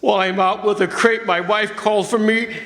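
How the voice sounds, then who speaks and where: A man's voice: high-pitched, steady tone, normal speed, fairly bright tone. A man playing a hot-tempered, bitter ambulance driver in an old-time radio drama, slangy, blustering and agitated. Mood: anguished, accusing. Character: hot-tempered, bitter working-class male ambulance driver, desperate husband. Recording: echoey hall stage recording, faint noise.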